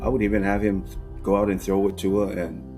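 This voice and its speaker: Low-voiced coach over music. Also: male voice, a little fast, low-quality recording. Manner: animated, conversational with "you know what I'm saying". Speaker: quarterback coach. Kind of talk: documentary interview.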